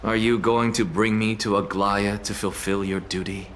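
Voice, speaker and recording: Casting: male actor as aloof, sardonic professor for a fantasy game, low voice, pompous and measured. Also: steady tone, fairly bright tone, a little hiss or hum, lightly acted. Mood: haughty. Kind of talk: dramatic video game acting.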